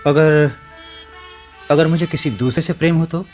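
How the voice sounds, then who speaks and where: A man's voice: a little high, fairly even intonation, a little slow, over music, lightly acted. A man playing an earnest, kindly, lovelorn man in a radio play, with dramatic delivery. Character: earnest, kindly, lovelorn man torn between two women. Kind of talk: Hindi radio play acting.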